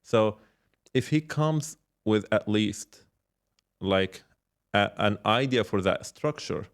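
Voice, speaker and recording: A man's voice: fairly low pitch, slow. A man speaking casually and reflectively as an interview guest, repeating words and explaining with examples and check-questions. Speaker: podcast guest, startup founder and mentor.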